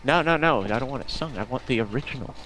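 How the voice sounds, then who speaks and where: Mid-pitched man bantering on a stream. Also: expressive, fast talker, over a noisy crowd, rough recording. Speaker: stream guest. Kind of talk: casual banter.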